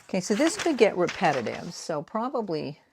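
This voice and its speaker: Low gravelly older woman, light Boston accent. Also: big pitch swings, normal speed, noisy background. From New England, United States. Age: 60s. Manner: chatty and rambling, with soft whispered asides while working. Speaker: artist and craft vlogger. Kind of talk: casual chat while making prints.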